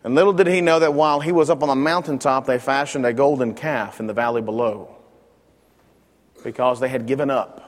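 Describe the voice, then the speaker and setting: Loud man teaching a Bible class. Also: medium pitch, fairly even intonation, a little fast, big-room sound. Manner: loud, emphatic, repeats words as he restarts. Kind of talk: Bible lesson, preaching. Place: church classroom. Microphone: microphone in a classroom.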